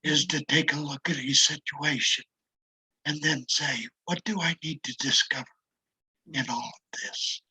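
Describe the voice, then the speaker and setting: A retired older man talks in a raspy, strained voice that often drops to a whisper. He speaks slowly and reflectively, with a self-deprecating manner, and the tone sits bright and tight despite the damage. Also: fairly high pitch, rough recording. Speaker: interview guest. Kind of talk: casual conversation.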